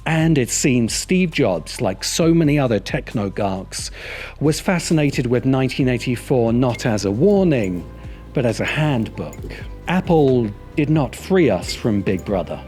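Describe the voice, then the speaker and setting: A man's voice: mid-range voice, sing-song, measured pace, a little mellow. A man reading a scripted video essay narration in a sardonic, opinionated manner. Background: music behind the voice.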